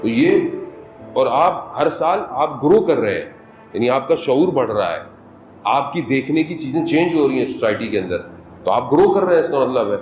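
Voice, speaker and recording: A man giving a majlis sermon as a Shia cleric, moving from conversational preaching into impassioned, high-pitched appeals. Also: big pitch swings, moderate pace, darkish tone. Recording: echoey hall with music underneath.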